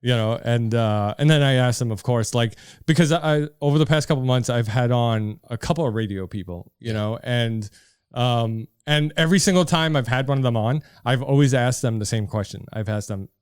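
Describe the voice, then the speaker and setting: A man's voice: medium pitch, normal speed, darkish tone, smooth, no echo. A man with a heavy Brooklyn accent hosting a casual podcast in a basement studio, talking loosely with lots of fillers. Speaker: podcast host. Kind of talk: casual chat. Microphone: studio microphone.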